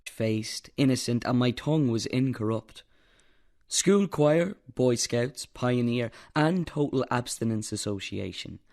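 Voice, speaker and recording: Man playing his naive younger self, slow, gravelly. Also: mid-range voice, expressive, a little mellow, pitched above the performer's own voice. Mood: innocent.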